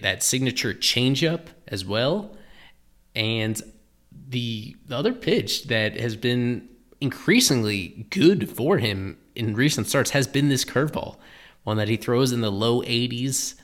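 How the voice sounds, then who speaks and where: Guy breaking down a pitcher, thoughtful, kinda restarts his sentences a lot. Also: a bit bright. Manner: thoughtful, restarts phrases, explanatory. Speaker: baseball analyst host. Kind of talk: analytical commentary.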